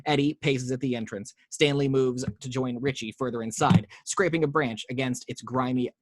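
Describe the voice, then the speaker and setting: A man at home reading screenplay action lines over a video call, steady with small slips. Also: mid-range voice, moderate pace, very noisy. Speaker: table read host reading action lines. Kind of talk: script narration.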